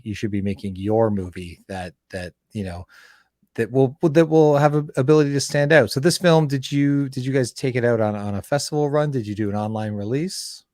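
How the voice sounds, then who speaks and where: A man hosting a casual filmmaking interview, talking in a halting way and repeating words as he forms his thoughts. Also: lowish voice, a little mellow, no room sound, studio quality. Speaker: interview host and fellow filmmaker.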